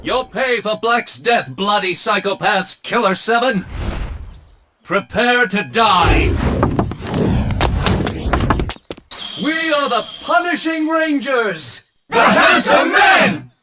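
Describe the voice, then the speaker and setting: An actor playing a pompous, vengeful superhero team leader, declaiming melodramatically in an animated game cutscene. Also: male voice, sits high, slow, a loud crowd, heavy background noise, heavily acted. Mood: vengeful, bombastic.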